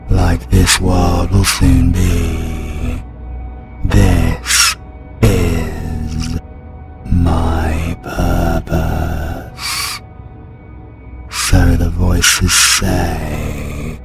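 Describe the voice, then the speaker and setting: A British man voicing the cold, menacing dark side of a possessed demon hunter in a fantasy audio drama. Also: full character voice. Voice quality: gravelly, very deep. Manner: slow, cold, ominous pronouncements. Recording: music underneath.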